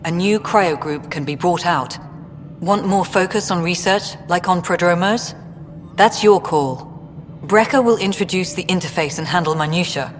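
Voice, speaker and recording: A man's voice: high voice, fairly bright tone, slight background noise. A man playing a brusque, harried space colony director in a video game, with a dry, officious manner. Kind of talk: video game dialogue acting. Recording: reverberant hall with music behind.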